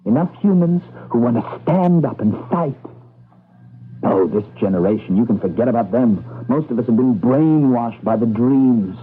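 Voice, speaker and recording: A man's voice: mid-range voice, lively intonation, moderate pace, slight background noise, close to an everyday voice. An actor playing a defiant, impassioned male rebel with an urgent, emphatic delivery in a 1980s radio drama. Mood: defiant, rallying. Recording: tinny vintage radio broadcast, faint music behind.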